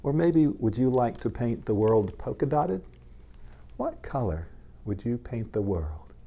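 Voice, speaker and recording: A man, a pastor, reading aloud to young children in gentle, simple language and addressing them directly. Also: mid-range voice, big pitch swings, a little slow, vocal fry, breathy edge.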